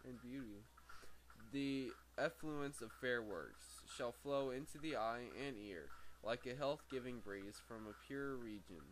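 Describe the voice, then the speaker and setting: Guy reading out a book, middle pitched voice, trips on words and fixes them, bit of background noise. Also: low-quality recording. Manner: halting, stumbles over words and corrects himself. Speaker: amateur book reader. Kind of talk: reading a book aloud with off-script asides. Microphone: very sensitive microphone. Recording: faint background noise, sensitive microphone.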